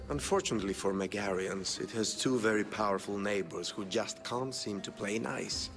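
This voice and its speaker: Scheming wealthy patron for a game: lowish, measured, condescending, long reverb on the voice. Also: male voice, fairly bright tone. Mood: calculating. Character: smooth-talking, calculating rich male patron hiring mercenaries. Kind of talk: video game cutscene acting. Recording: long reverb, background music.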